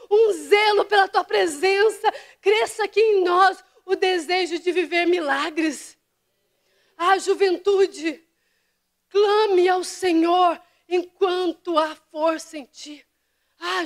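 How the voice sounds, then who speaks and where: A woman pastor delivering a fervent sermon to her church, dropping to hushed whispers and rising to impassioned cries. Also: very high voice. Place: church service.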